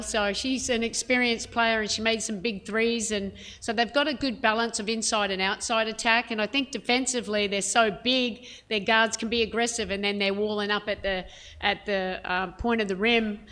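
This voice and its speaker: A woman head coach answering reporters' questions at a press conference, frank and measured, with plenty of "um" and "you know". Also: a little high, steady tone, fairly bright tone. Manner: frank, measured, many fillers.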